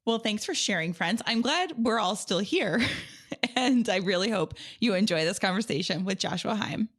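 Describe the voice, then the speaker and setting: A woman's voice: mid-range voice, expressive, normal speed. A woman hosting a podcast, welcoming her guest and opening the interview. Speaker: podcast host.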